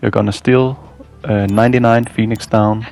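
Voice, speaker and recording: A man with a low, gravelly voice delivers a casual video game walkthrough. He narrates from a loose script and drops in dry, weary asides. Music plays behind him. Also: fairly even intonation, normal speed, darkish tone.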